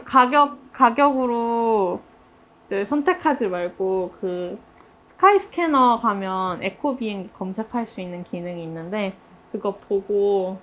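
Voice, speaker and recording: A woman's voice: mid-range voice, unhurried, fairly bright tone, slight background noise. A woman hosting a casual team discussion as a director, chatty and polite, thinking aloud as she talks. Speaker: theatre director hosting a team review interview.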